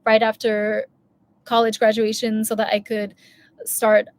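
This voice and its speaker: A woman's voice: on the high side, monotone, unhurried. A woman, telling a long candid story in a casual vlog, full of asides and self-corrections. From United States. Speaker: vlogger.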